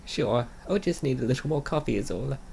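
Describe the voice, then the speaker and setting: A man's voice: mid-range voice, animated, brisk, gravelly, a little mellow, a little hiss or hum, pitched above the performer's own voice. A man voicing an obliging, busy young wife in her late twenties, eager and accommodating, for a comic dub. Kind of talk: comic dub character acting.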